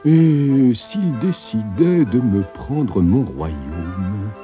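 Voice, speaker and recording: A man voicing a scheming, fearful king in a storybook reading, talking slowly and slyly. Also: lowish voice, expressive, mellow, faint music behind. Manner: slow, musing, sly.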